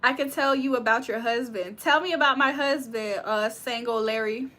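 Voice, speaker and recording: A woman's voice: a little high, moderate pace, pushed and bright. A young woman from Virginia hosting a livestream, reading comments aloud and reacting with frequent laughs. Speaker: livestream host reading chat. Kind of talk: casual live chat.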